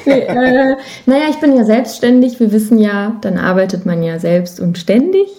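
Mellow-voiced woman in casual conversation. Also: fairly high pitch, expressive, normal speed. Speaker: guest, musician and songwriter.

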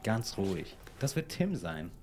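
A man's voice: fairly low pitch, very animated, unhurried. Man in casual audio-play dialogue over music. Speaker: podcast host.

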